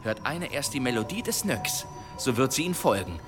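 An actor in a radio play voicing a wandering teller of demon tales, theatrical and suspenseful with dramatic pauses. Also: male voice, mid-range voice, big pitch swings, over music, close to an everyday voice. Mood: ominous, suspenseful. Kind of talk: radio play acting, tavern storytelling.

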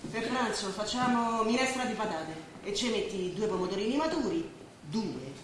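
A woman's voice: medium pitch, expressive, moderate pace, natural voice. Very echoey far-off stage recording, woman acting ageing Roman housewife, weary, bossy, grumbling, theatrical. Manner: grumbling, bustling. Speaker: stage actor. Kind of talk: theatrical stage drama dialogue. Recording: echoey stage, distant mic, noisy.

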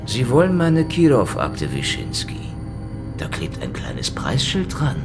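An actor playing a hardboiled, cynical Soviet secret-police detective, talking in a dry, sardonic, probing way. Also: male voice, medium pitch, huge pitch swings, unhurried, vocal fry, over music, exaggerated voice. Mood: bargaining. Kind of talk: radio drama acting.

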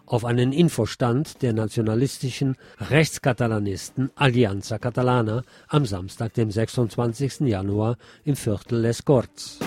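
A man's voice: mid-range voice, dark tone. A man presenting scripted news on the radio, reading as a presenter.

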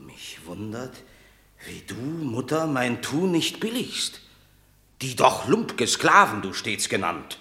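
Gravelly, proud Roman warrior. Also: male voice, medium pitch, very animated, slow, bright, forceful tone, exaggerated voice. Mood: proud indignation. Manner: declamatory stage diction, indignant. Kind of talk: classic German radio drama acting. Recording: roomy radio drama studio sound.

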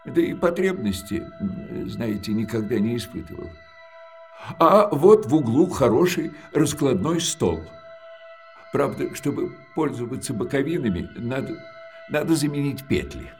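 An actor playing an elderly, widowed male junk-shop owner in a radio drama. He talks slowly and hesitantly, and his sentences trail off softly between pauses. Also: medium pitch, big pitch swings, faint music behind. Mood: hospitable. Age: older.

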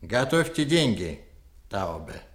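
A scheming, greedy priest in a historical radio play. He talks slowly and deliberately, with expressive rises and falls as he works on his listener. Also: on the low side. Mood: calculating. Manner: measured, deliberate, insinuating. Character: calculating, persuasive, greedy male Jesuit priest schemer. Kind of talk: theatrical historical radio drama acting.

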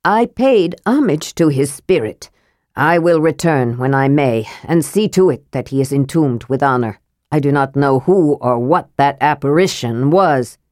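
A woman's voice: sits low, expressive, a little slow, a little mellow, no echo, clean studio sound, pitched below the performer's own voice. Woman playing a proud solemn dwarf lord. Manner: stately, grave.

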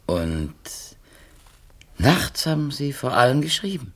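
Wordy bookish editor, husky and animated. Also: male voice, mid-range voice, takes it slowly, heavily acted. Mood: curious. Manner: wordy, tentative, self-qualifying. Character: well-meaning, talkative male publisher's editor, bookish and hesitant. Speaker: publisher's editor. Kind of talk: 1970s German radio play dialogue.

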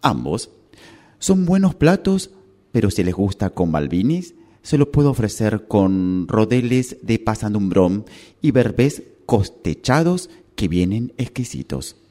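A man playing a formal, obliging, deadpan waiter in a comic radio play. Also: on the low side, unhurried. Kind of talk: comic radio theatre acting.

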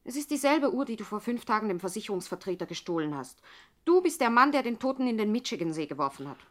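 Female crook's girlfriend: mid-pitched, frank, knowing, anxious, vintage radio drama style. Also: sing-song, fast, a bit bright. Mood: knowing, accusing. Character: worldly, anxious gangster's girlfriend, frank and unfooled. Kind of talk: 1960s German radio drama acting.

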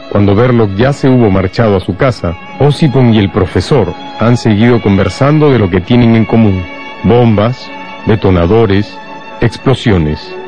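A man narrating a scripted radio drama as storyteller. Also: on the low side. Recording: loud music and crowd sound behind.

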